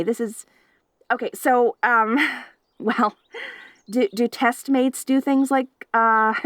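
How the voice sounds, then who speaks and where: High giggly stammery wolf girl, clingy and way too intense, a woman acting her out, dry close audio. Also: no echo. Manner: giggly, stammering, rambling and eager. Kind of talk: audio roleplay.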